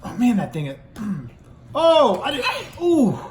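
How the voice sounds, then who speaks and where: A man's voice: sits high, wildly swooping pitch, slow, heavy background noise. Playful man putting on toy puppet play, roomy. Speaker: father puppeteering toy ponies for a kids' video.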